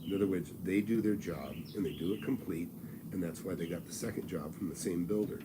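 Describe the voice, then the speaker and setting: A man's voice: deep voice, brisk, background noise. Blunt, folksy older man in his sixties. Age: 60s. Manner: blunt, folksy and salesy, with frequent restarts. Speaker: real estate mentor and channel host. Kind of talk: live-streamed advice talk.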